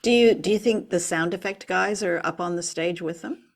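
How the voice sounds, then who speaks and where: A woman's voice: fairly low pitch, moderate pace, creaky. A woman hosting a radio show and podcast in casual, engaged conversation, agreeable and inquisitive. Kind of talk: casual chat about old radio drama.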